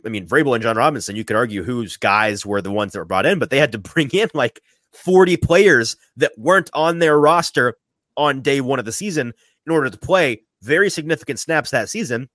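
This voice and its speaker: A man hosting a sports talk podcast, sniffly from a sinus infection, animated and often repeating his first words as he starts a thought. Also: mid-range voice, dry recording. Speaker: podcast host.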